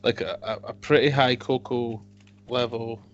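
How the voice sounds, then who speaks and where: A man co-hosting a casual whisky podcast, dry and a bit hesitant, often trailing off quietly. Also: mid-range voice, fairly even intonation, measured pace. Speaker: podcast co-host. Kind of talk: casual tasting chat. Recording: dull lo-fi home podcast audio.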